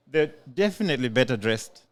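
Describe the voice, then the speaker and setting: A man's voice: medium pitch, lively intonation, brisk. An easygoing male podcast co-host chatting on location in a community centre. He talks loosely, with frequent ums and repeated words as he gathers his thoughts. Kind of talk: casual podcast chat.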